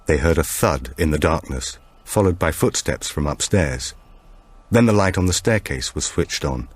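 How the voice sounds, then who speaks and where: A man's voice: rumbling low, lively intonation, normal speed. A man narrating a supernatural thriller audiobook from a script.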